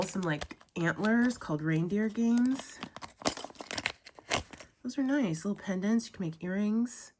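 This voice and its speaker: A young woman with a cold and a sniffly voice, chatting casually as she unboxes craft supplies, gushing with drawn-out "ooh"s and "um"s. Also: fairly low pitch, noisy background. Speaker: crafting vlogger.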